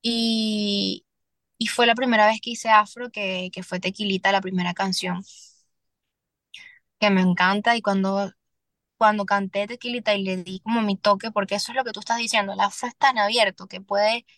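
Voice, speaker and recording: A young Venezuelan woman, a singer-songwriter, speaking candidly as a podcast guest, earnest and halting with restarts. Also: medium pitch, fairly even intonation, fairly bright tone, dry recording. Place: Miami.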